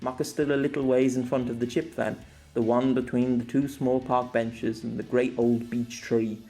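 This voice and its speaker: Steady male audiobook narrator. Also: mid-range voice, moderate pace, faint music behind.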